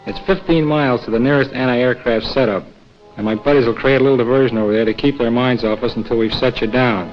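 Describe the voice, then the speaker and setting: A man plays a confident American wartime pilot giving a briefing. He talks informally in a medium-pitched voice. The recording is a dull old film track with music underneath. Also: fairly even intonation, fast, natural voice. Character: confident, plain-talking male military pilot briefing an agent. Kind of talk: 1940s training-film acting. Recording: dull 1940s film soundtrack, music behind.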